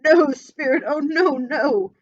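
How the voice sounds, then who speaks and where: Woman doing a terrified old miser, high and loud, begging; dull dry reading. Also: normal speed, dry recording. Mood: desperate. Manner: desperate, shrill, cries out. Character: frightened pleading old miser, male. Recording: dull lo-fi audio.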